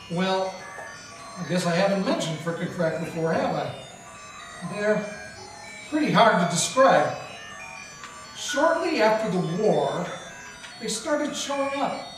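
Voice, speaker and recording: A wisecracking 1940s gumshoe. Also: male voice, sits high, heavily acted. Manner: wisecracking, hard-boiled. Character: wisecracking male 1940s private eye. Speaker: narrator and lead actor. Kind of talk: 1940s radio acting, live. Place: historic mansion hall, indoors, live audience. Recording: echoey room from far off with music.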